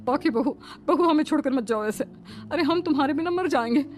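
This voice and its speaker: A woman's voice: high voice, lively intonation, fairly quick, a little hiss or hum. A woman voicing a demanding, quarrelsome older mother-in-law in a cartoon, bossy and cajoling. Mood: pleading.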